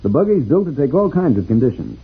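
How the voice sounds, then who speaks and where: An actor playing a reassuring space guide in a vintage science-fiction radio serial, explaining things steadily. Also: male voice, medium pitch, big pitch swings, fast, breathy edge, heavily acted. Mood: calm, confiding. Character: reassuring adult male space guide from another planet. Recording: tinny, noisy old radio recording.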